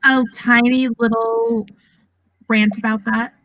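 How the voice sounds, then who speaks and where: A woman chatting casually about video games on a podcast, informal and halting as she thinks aloud. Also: on the high side, little pitch movement, highs cut off, over a noisy crowd. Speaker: podcast panelist.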